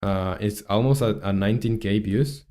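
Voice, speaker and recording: A man's voice: on the low side, normal speed, dark tone. A young man from Chile in his twenties, chatting casually while he works on a game and reads chat aloud, with lots of fillers and restarts. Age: 20s. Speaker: game dev streamer.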